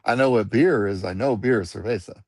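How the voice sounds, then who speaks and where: Guy with a gravelly voice explaining spanish words, spells stuff out slow, dry close mic. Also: fairly low pitch, a little mellow, dry recording. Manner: patient, spells words out letter by letter. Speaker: guest Spanish speaker. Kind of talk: casual teaching chat.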